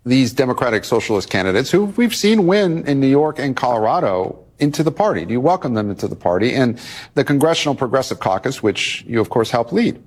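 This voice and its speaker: Animated husky male interviewer. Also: mid-range voice, a little fast. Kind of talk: TV news interview questioning.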